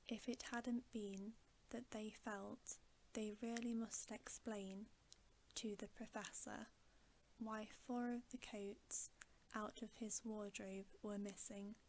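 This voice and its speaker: A woman reading a bedtime story in ASMR style, soft, hushed and often whispery, in a soothing, measured manner. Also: a little high, fairly even intonation, a little hiss or hum, low-quality recording. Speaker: ASMR storyteller, channel host.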